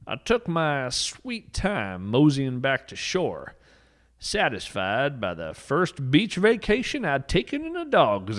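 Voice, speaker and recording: A man's voice: medium pitch, fairly bright tone. A man playing a folksy, whimsical naturalist adventurer, telling scripted tall tales in a wry, theatrical way for a podcast. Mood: earnest wonder. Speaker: podcast narrator. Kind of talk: scripted first-person storytelling monologue.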